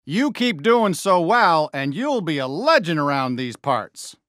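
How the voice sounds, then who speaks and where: Good-natured cartoon cowboy sheriff, American, folksy drawl, very lively swings in pitch, unhurried, game character. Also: male voice, no echo, clean studio sound. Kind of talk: animated video game character lines.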